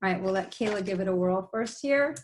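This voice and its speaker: A woman's voice: medium pitch, fairly quick, a little hiss or hum. A woman hosting a children's read-aloud, telling the story expressively with casual asides and simple explanations. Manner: explains words as she reads.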